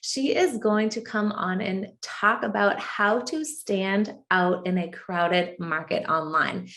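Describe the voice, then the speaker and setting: A woman's voice: mid-range voice, slow pace, a bit bright. A woman hosting a podcast, introducing and interviewing a guest with effusive enthusiasm. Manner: effusive, enthusiastic, repeats "amazing". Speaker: podcast host.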